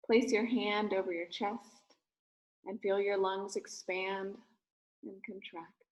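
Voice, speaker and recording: A woman speaking as a rabbi leading a guided meditation, giving gentle, soothing instructions in short phrases with pauses. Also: medium pitch, steady tone, low-quality recording.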